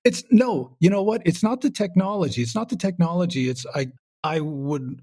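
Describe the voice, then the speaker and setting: A man with a gravelly edge and middling pitch talks casually as a podcast guest. He is wry and rambles, slipping into quoted remarks as he goes. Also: darkish tone. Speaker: podcast guest, comedy show creator. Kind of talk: casual interview conversation.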